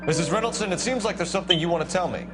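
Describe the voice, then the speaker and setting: A man's voice: high voice, racing, over music, lightly acted. A man playing a stern 1940s police detective, questioning a witness formally and probing for answers in a video game scene.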